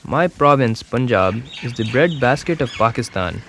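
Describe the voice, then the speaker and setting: A man voicing a young village schoolboy, telling his story in a calm, measured documentary voice-over. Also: mid-range voice, slight background noise. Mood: earnest. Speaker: documentary narrator. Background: music.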